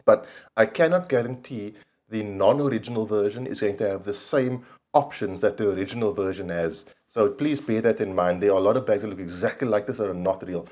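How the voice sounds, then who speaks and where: A man's voice: fairly low pitch, a little mellow, muffled recording. A man, slightly nasal from a cold, earnestly explaining his gear to the camera in a casual review. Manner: earnest and explanatory, frequent ums, hand gestures. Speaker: vlog host reviewing gear. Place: small indoor room against a plain wall.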